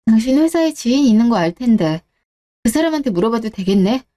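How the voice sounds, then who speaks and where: Radio drama role: woman as a stern, reproachful wife, cool-headed and unforgiving, slightly high pitch, very mellow timbre. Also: lively intonation, fairly quick, natural voice. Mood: cold, reproachful. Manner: cold, interrogating, reproachful. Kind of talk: radio-drama story dialogue.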